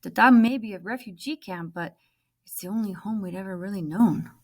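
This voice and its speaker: A woman reads a children's story aloud like a teacher to a class. Her pitch is average, and she narrates expressively, leaning on key words. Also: a little fast, a little mellow. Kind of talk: children's book read-aloud, scripted first-person narration.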